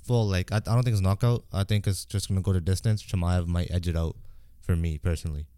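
Low-voiced, fast-talking man chatting sports. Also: fairly even intonation, mellow, clean tone, dry recording, studio quality. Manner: informal, interjecting agreement. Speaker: sports podcast co-host. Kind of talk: casual sports chat.

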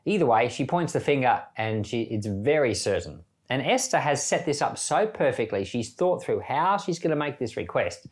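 A middle-aged man who reads a Bible passage aloud, then talks it through in a relaxed way, thinking aloud with small fillers. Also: medium pitch, normal speed, hardly any pauses. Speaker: church Bible reader. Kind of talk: scripture reading and informal commentary.

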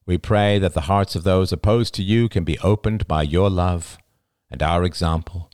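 A man voicing a devout, hearty elderly father, speaking in a measured, declaiming way for a story. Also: deep voice, darkish tone, no echo, clean studio sound, close to an everyday voice. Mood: solemn, reverent. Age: older.

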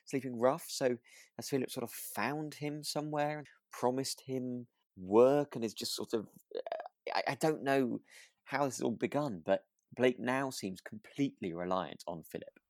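A man's voice: medium pitch, no room sound, studio quality. A British man co-hosting a podcast in casual chat, often rephrasing his thoughts mid-sentence. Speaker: podcast co-host. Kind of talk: casual chat, reviewing a radio drama.

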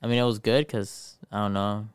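A man's voice: lowish voice, level tone, fairly quick, clean tone, dry recording, clean studio sound. A young man from Mexico whose first language is Spanish, chatting in a laid-back, profane way. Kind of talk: casual chat.

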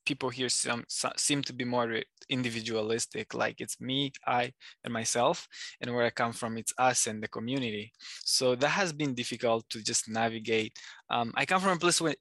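Guest, male, bright and forward, dry close sound, reflective with frequent pauses. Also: mid-range voice, no room sound. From Democratic Republic of Congo. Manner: thoughtful, pauses often with ums. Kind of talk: casual interview answers.

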